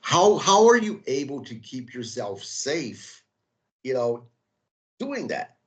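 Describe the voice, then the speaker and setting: A man hosting a podcast, asking probing interview questions with halting restarts. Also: mid-range voice, sing-song. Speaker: podcast host.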